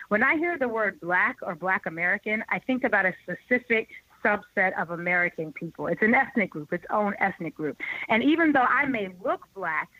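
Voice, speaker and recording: Bright, crisp woman explaining earnestly. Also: mid-range voice, dry recording, lo-fi sound. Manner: earnest, emphatic, laughs easily. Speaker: guest. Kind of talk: explanatory interview talk.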